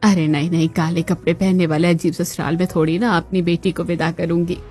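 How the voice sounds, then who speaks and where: A woman acting the dramatic, expressive daughter and chatty female relatives of a cartoon family story. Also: lowish voice, a little fast, lightly acted. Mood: worried, gossipy. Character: young unmarried daughter and her chatty women relatives, expressive. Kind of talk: Hindi cartoon story dialogue. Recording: music bed behind the voice.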